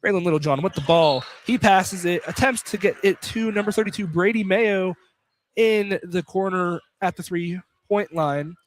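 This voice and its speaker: A man's voice: high-pitched, moderate pace. A man doing live play-by-play commentary of a college basketball game in an informal, conversational way. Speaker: play-by-play announcer. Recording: clearly noisy live radio broadcast.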